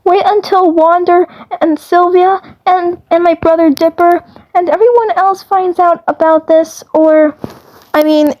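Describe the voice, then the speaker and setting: A woman voicing a bubbly, affectionate, excitable cartoon girl in an amateur fandub, gushing and rambling in slang. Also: very high voice, measured pace, pitched above the performer's own voice, rough recording. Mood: giddy.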